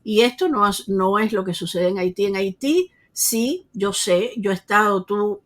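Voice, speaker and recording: A woman's voice: mid-range voice, measured pace. A woman, answering an interviewer earnestly, with frequent hesitations and restarts. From Cuba. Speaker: interview guest.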